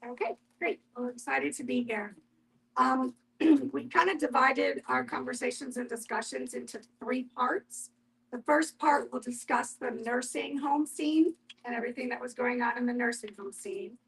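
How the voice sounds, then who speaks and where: A woman's voice: high voice, moderate pace, vocal fry, a bit bright, low-quality recording. A woman facilitating a group discussion in a conversational way, putting questions to the group and inviting responses.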